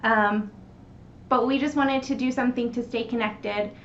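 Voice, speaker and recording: Even-toned woman giving a casual introduction. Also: on the high side, normal speed, roomy sound. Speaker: introducer of a family reading. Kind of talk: casual home-video introduction. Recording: dull home recording, faint noise.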